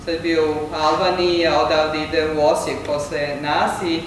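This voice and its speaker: A man introducing a guest lecturer at a seminar, halting and full of fillers. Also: on the high side, flat delivery. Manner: halting, many fillers and restarts. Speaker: seminar organizer introducing the speaker. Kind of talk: formal introduction. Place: large lecture hall. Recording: echoey hall from far off with noise.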